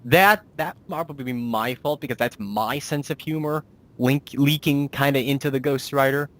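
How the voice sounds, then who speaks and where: A man's voice: mid-range voice, normal speed. A man hosting a casual interview as a writer and producer, talking in a rambling, self-deprecating way.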